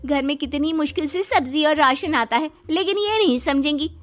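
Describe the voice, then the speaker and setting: Young daughter-in-law, played by a woman, cartoon moral story; very high, pleading, exasperated. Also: normal speed, faint music behind, close to an everyday voice. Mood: flustered, protesting. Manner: plaintive, protesting.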